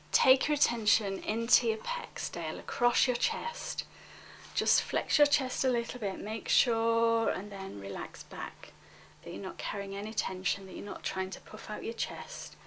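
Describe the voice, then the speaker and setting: Soft, creaky-voiced woman guiding relaxation. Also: medium pitch, a little hiss or hum, low-quality recording. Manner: gentle, unhurried, instructing, often trailing into near-whisper. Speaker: relaxation coach. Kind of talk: guided relaxation, spoken softly to one listener.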